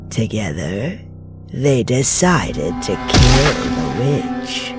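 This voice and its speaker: An actor voices a calm storyteller from a comic dub, spinning a bedtime legend for children. The delivery is slow and measured, with very expressive rises and falls. Music plays behind the voice. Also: medium pitch, full character voice. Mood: grave.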